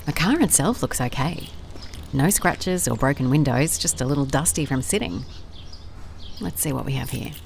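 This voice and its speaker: A woman's voice: extremely low pitch, animated, normal speed, gravelly, slight background noise, heavily acted. A woman playing a wry, observant private investigator in an audio drama, with a dry, conversational manner. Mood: dry, musing. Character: wry, observant female private investigator narrating her case. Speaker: lead actor in an audio drama. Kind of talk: audio drama case notes and dialogue.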